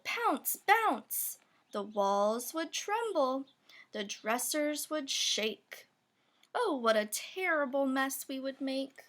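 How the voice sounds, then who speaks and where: A woman's voice: sits high, fairly bright tone. A woman reading a rhyming children's picture book aloud, with playful emphasis on the sound words. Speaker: storybook reader.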